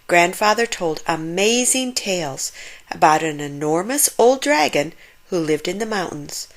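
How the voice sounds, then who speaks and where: A woman narrating a children's storybook aloud in an expressive, unhurried manner. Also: lowish voice.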